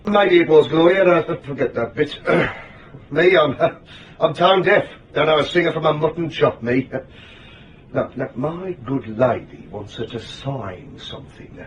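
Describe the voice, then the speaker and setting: A man's voice: on the high side, unhurried, recorded from a distance, muffled recording, close to an everyday voice. An actor playing a self-important, grumbling English married man who rambles fussily in a radio drama. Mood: scornful, blustering. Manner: rambling, fussy, repeats himself. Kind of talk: character acting in radio drama.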